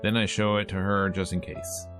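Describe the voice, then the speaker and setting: Low-pitched man reading a game aloud. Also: flat delivery, normal speed, music in the background. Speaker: streamer reading a visual novel aloud. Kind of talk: game let's-play, reading narration aloud.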